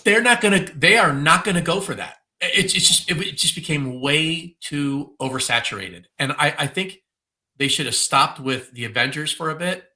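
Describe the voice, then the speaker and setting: Man with a very bright, forceful voice. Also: on the high side, expressive, normal speed. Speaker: podcast co-host. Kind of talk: casual chat.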